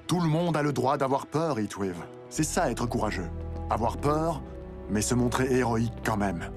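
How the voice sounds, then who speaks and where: An actor playing a calm, fatherly rescue team leader in a French cartoon dub, measured and encouraging. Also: mid-range voice, natural voice. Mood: reassuring. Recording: music behind.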